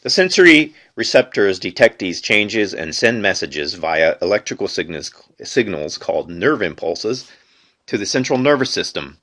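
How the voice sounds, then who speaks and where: A man reading educational textbook material aloud in an unedited amateur way, phrasing it deliberately. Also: low-pitched, fairly bright tone. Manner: deliberate phrasing, reads headings aloud, stumbles and corrects himself.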